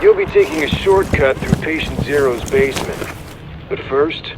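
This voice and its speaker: An actor playing a brisk, focused mission-control officer in a video game, giving terse procedural commands. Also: male voice, a little high, very noisy, close to an everyday voice. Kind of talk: scripted game mission briefing.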